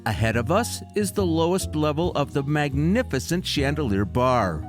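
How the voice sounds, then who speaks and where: Lively male voice-over narrator. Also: on the high side, moderate pace. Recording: voice-over with guitar music behind.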